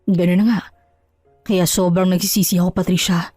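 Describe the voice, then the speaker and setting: A woman voicing an ashamed, remorseful husband in an audio drama, pleading and apologetic with halting words. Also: on the low side, level tone, mellow, no room sound, clean studio sound, soft background music, natural voice. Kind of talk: Tagalog audio drama acting.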